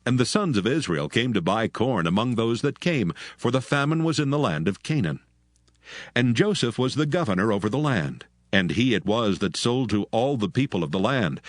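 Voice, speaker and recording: Male scripture narrator, lowish pitch with lively movement, formal storytelling over a music bed. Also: no room sound, studio quality. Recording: music behind the voice.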